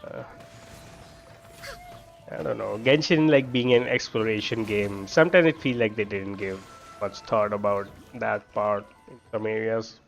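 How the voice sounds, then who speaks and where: A man's voice: on the low side, unhurried, gravelly. A man giving casual gameplay commentary, thinking aloud as he plays. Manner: musing, thinking aloud while playing. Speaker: game streamer. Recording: dull lo-fi microphone sound. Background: game music.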